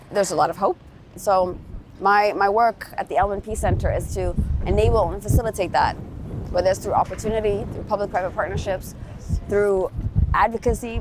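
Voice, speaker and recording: A woman from Somalia speaks as an interview guest in an earnest, explanatory way. Her voice sits at a middle pitch. Some ambient noise runs faintly behind her. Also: darkish tone. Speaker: interview guest, peace organisation executive. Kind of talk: earnest interview answers about advocacy work.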